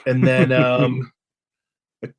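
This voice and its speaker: American guy being interviewed on a podcast, middle pitch, just chatting casually. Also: monotone, unhurried. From Los Angeles, USA. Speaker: podcast guest, composer.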